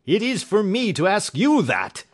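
A man's voice: high-pitched, sing-song, measured pace, pitched above the performer's own voice, exaggerated voice. A man voicing a very fat, burly, enraged bully, shrieking and menacing, in a storybook reading. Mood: furious.

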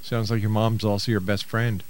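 A middle-aged man with a fairly low voice works as a radio talk show host. He introduces and questions his guest in an avuncular manner. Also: flat delivery, normal speed. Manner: avuncular, uses pet names for the guest.

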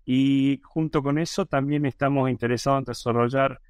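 A middle-aged man with an Argentine accent answers questions as a guest expert. He hesitates often and restarts his sentences, and his voice sits at an ordinary pitch. The recording is dry and close. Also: fairly even intonation, normal speed, darkish tone, smooth, dry recording. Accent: Argentine Spanish. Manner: thoughtful, many hesitations and restarts. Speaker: virology professor, guest. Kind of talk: interview answers.

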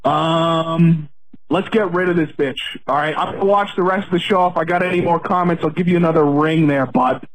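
A man with a slightly raised pitch rants scornfully into a voicemail. The sound comes through as a phone-line message. He taunts and drags out his jabs. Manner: mocking, taunting, drawn-out asides. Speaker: voicemail caller. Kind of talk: hostile voicemail rant.

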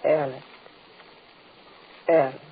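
Irene, a trusting medium, tinny-sounding. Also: female voice, rumbling low, little pitch movement, slow. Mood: entranced. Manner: repetitive trance-like chanting. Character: trusting, entranced young female psychic medium. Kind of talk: 1940s radio horror acting. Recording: noisy tinny 1940s radio recording.

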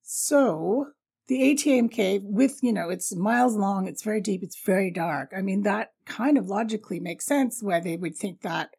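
A middle-aged woman with a raspy voice hosting a travel podcast in an informal, upbeat, jokey, chatty way. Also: medium pitch, normal speed, a little mellow. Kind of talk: chatty solo podcast storytelling.

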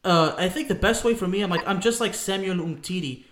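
A man's voice: high-pitched, brisk, fairly bright tone, roomy sound, a little hiss or hum. A man from France co-hosting a sports talk show, emphatic and repetitive, calling listeners "folks". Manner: emphatic, repeats himself, addresses listeners as folks. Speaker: podcast co-host.

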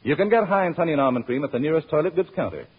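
A man's voice: a little high, lively intonation, racing, breathy edge. An American man announcing in a polished, emphatic 1930s-40s radio advertising style. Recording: muffled, narrow-band old radio recording.

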